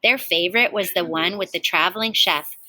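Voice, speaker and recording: A woman reading a children's storybook aloud in an expressive, animated way, like a teacher talking to young listeners. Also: lowish voice, brisk, dry recording.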